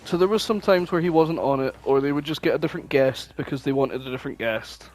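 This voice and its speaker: A man's voice: a little high, fast talker, a little mellow. A man chatting casually as a podcast co-host, blunt, opinionated and free with swearing. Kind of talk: casual chat, reminiscing.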